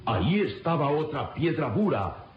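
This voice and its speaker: A man narrating an adventure radionovela in a grave, theatrical, measured style. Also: on the high side, very animated, slight background noise. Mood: grave, suspenseful. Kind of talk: dramatic scripted radionovela narration. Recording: muffled radio drama under loud music.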